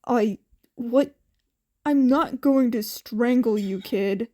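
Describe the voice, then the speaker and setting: A woman voicing a gruff, weary man who is reluctantly kind, in a fan fiction audio story. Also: on the high side, slow pace, warm tone, a little hiss or hum. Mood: taken aback.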